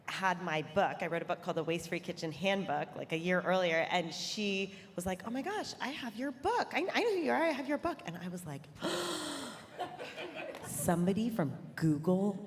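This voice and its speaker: A woman's voice: mid-range voice, big pitch swings, moderate pace, a little hiss or hum. A woman moderating a conference panel in a casual, chatty way, with many fillers. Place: large hall on stage. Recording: reverberant hall, faint ambience.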